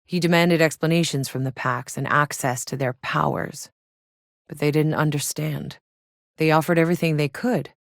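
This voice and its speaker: A woman's voice: low voice, steady tone, normal speed, vocal fry, no echo, studio quality. An actress playing a tough, sardonic female soldier and explorer in a sci-fi video game, blunt and matter-of-fact. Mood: investigative, serious. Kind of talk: scripted video game character voice lines.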